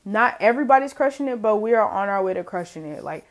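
A woman's voice: mid-range voice, lively intonation, fast talker. A young adult woman hosting her own podcast, chatting casually and laughing often as she talks to listeners. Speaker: podcast host. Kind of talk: casual solo podcast chat.

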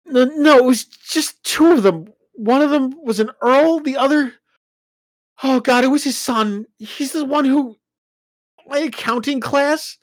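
A woman voicing a wounded young shapeshifter man, crying in pain with a halting, breaking voice. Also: a little high, expressive, fairly bright tone, husky, no echo, voice pushed up, heavily acted, poor audio. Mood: distraught.

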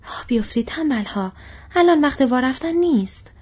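Woman doing a shouting husky orc slave-driver. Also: fairly high pitch, lively intonation, dull audio, voice pushed up. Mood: barking orders. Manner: shouting threats and taunts. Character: brutal male orc slave-driver, jeering, cruel.

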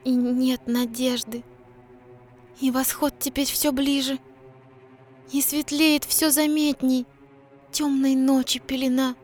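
An actress playing a heartbroken, tender young mermaid princess in a verse fairy-tale audio drama, speaking with sorrowful resignation. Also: female voice, high-pitched, little pitch movement, takes it slowly, pauses often, darkish tone, soft background music. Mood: hopeless, resigned.

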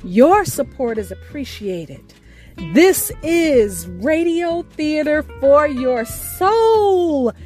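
High-voiced woman radio host, earnest. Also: sing-song, darkish tone. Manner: earnest, grateful, measured delivery. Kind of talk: scripted show introduction. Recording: faint music bed behind voice.

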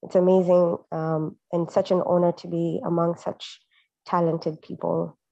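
A woman from Kenya, a photographer speaking earnestly on a group call, with frequent halting restarts. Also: on the low side, level tone, vocal fry, mellow, no room sound. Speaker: guest artist, photographer. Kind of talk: casual group call chat. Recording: dull lo-fi online call audio.

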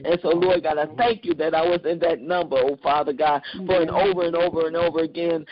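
Guy praying out loud, fervent, keeps saying hallelujah, highish voice, dull recording. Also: steady tone, quick pace, crowd noise. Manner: repetitive, rhythmic calls of hallelujah and oh God. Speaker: prayer leader. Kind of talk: fervent spoken prayer. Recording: muffled lo-fi sound.

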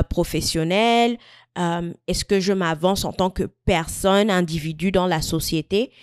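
A woman in her mid-twenties from Equatorial Guinea, talking thoughtfully to camera in a personal vlog, with frequent pauses and restarts. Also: lowish voice, clean tone, dry recording, clean studio sound. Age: 20s. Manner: thoughtful, searching, many fillers and restarts. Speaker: vlogger. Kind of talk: reflective personal talk to camera.

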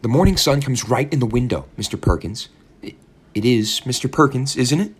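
A man plays an overly sweet landlady who fusses and coos over her young guest. The voice sits well above the reader's own low register and comes a touch louder. It has a storybook-reading feel. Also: expressive, brisk, breathy edge. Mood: cooing. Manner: fussy, cooing and gushing. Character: overly sweet, slightly odd older landlady.

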